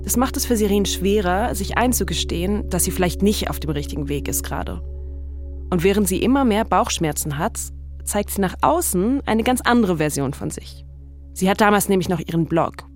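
Podcast-Erzählerin in mittlerer Tonlage. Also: female voice, mid-range voice, lively intonation, normal speed, faint music behind. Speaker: podcast host and narrator. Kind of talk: scripted conversational storytelling.

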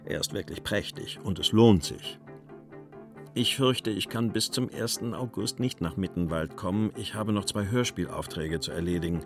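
Man acting post-war writer, low voice, weary and wry, measured literary reading, careful diction, music behind. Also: lightly acted. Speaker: actor reading letters and poems. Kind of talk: literary reading of letters and verse for a radio feature.

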